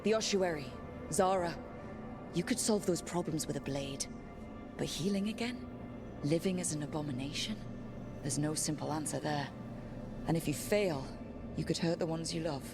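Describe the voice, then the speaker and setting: A caring, level-headed game heroine, pitched a little low. She reasons earnestly and frankly, like a steady companion in a dramatic scene. Prominent music runs underneath. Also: moderate pace, long pauses. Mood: understanding. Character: steady, caring, frank female adventurer talking a companion through pain. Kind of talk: dramatic video game voice acting.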